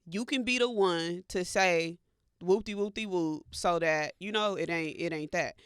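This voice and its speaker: A woman's voice: fairly low pitch, measured pace, clean tone, no echo, studio quality. An American woman co-hosting a talk show, chatting casually in blunt, slangy language. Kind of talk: casual culture gossip chat.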